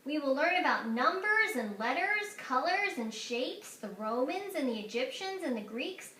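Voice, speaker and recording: A woman's voice: high voice, very animated, sharp tone, reverberant, heavily acted. Woman playing a grandly enthusiastic, emphatic male school principal. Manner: emphatic, drawn-out repeated pronouncements.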